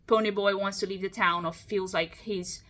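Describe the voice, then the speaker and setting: A woman's voice: mid-range voice, fairly even intonation, normal speed, fairly bright tone. A woman hosting a reaction video, chatting casually, breaking down what she hears and often laughing. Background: faint musical soundtrack playing.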